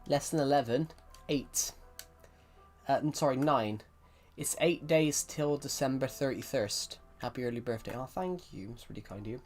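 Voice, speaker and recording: A young streamer with a Southwest English accent talks in a loose, rambling way, often restarting sentences and answering his chat. His voice sits at an ordinary middle pitch. Faint music and keyboard typing run underneath. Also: normal speed. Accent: Southwest England. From England. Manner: rambling, restarts a lot, reads chat aloud. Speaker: game streamer. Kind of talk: casual live-stream chat.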